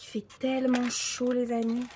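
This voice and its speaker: Hoarse young woman vlogging casually. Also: a little high, level tone, moderate pace, warm tone, slight background noise. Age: 30s. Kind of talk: casual vlog chat.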